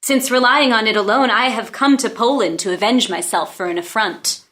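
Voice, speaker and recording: A woman's voice: fairly high pitch, moderate pace, a bit bright, lightly acted. A woman playing a proud young noblewoman in disguise, formal and earnest in a play read-through. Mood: solemn resolve.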